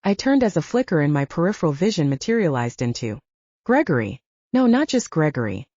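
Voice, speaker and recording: Woman reading an audiobook, mellow but really up and down, dry slightly dull recording. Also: low voice, very animated, brisk, dry recording. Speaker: audiobook narrator. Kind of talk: scripted fiction narration.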